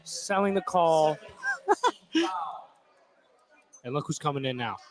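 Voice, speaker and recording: A man's voice: fairly high pitch, wildly swooping pitch, slow pace, crowd noise. A man giving casual, opinionated basketball analysis as a color commentator. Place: at a live basketball game.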